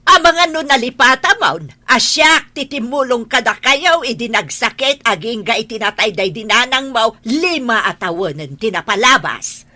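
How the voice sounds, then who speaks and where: A woman playing a bossy, sharp-tongued aunt, reproachful and emphatic, in a radio drama. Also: on the high side, lively intonation, moderate pace, hardly any pauses, no room sound. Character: scolding, bossy aunt, sharp-tongued older female relative.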